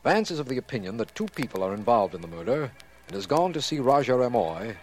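Earnest radio district attorney. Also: male voice, mid-range voice, big pitch swings. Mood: sober, expository. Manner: formal, measured. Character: earnest district attorney, detective's puzzled friend. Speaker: narrator and sidekick. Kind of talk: 1940s radio drama acting, formal recap.

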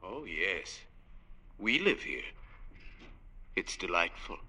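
An actor playing a suave, courtly, inhuman dweller of a department store in a 1940s radio drama. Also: male voice, sits low, very animated, slow pace, pushed and bright, heavily acted. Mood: suave, amused.

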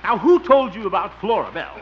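A man's voice: high voice, lively intonation, a little fast. A boastful small-town bachelor who turns blustery and flustered in a moment, and his tone swings a great deal. The recording is dull and hissy, as old radio tends to be. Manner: blustery, easily flustered, chuckles. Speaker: lead comic character. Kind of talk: 1950s radio comedy acting.

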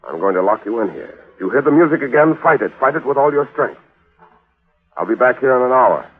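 An actor playing an earnest, protective fiancé turned avenger in a 1940s radio mystery, intense and melodramatic. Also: male voice, medium pitch, expressive, a little fast, slightly rough. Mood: determined. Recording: tinny old radio recording with music.

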